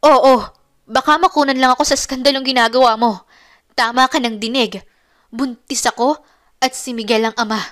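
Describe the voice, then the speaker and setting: A woman's voice: high voice, fairly even intonation, moderate pace, pauses often, fairly bright tone, pitched above the performer's own voice. A woman narrator playing a haughty, defiant young mistress with a snippy, emphatic delivery in a melodramatic romance story.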